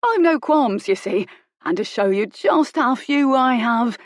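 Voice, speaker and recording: A woman's voice: fairly high pitch, expressive, a little slow, no room sound, studio quality, pitched above the performer's own voice. A woman voicing a coarse, greedy male bounty hunter in a storybook reading, boastful and leering. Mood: gloating.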